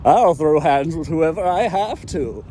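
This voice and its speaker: A man's voice: fairly high pitch, lively intonation, measured pace, mellow, slight background noise. A man voicing a brash, profane young hero in an amateur audio drama who talks bluntly and defiantly. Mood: defiant, cocky.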